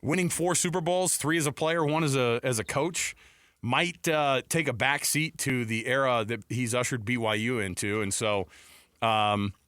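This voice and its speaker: An American man hosting conversational sports talk radio, thinking aloud with halting repeats on a close studio mic. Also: medium pitch, a bit bright, clear, resonant tone, dry recording. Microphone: close studio broadcast mic.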